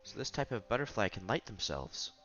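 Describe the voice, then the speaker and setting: A man's voice: lowish voice, very animated, fairly quick, bright, forceful tone, muffled recording, faint music behind. A man reading visual novel narration aloud as a streamer, expressively, with casual asides and exclamations.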